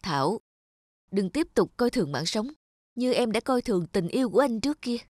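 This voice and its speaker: A hesitant young ex-boyfriend. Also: male voice, sits high, animated, a little fast, darkish tone, dry recording, studio quality. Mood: firm, reproving. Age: young adult. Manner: hesitant, apologetic. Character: awkward, apologetic young man, firm with his ex. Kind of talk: animated moral drama dubbing.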